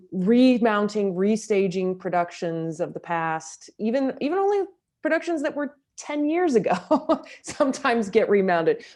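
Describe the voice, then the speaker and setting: A woman talking conversationally in an interview as a director and actor, thoughtful and emphatic in how she makes her points. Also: fairly high pitch. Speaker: audio drama director and actor being interviewed.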